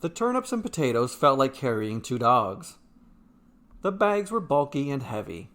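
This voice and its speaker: A man's voice: medium pitch, very animated, normal speed, fairly bright tone. A man reading a scripted fantasy tale as its narrator. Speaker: narrator, storyteller.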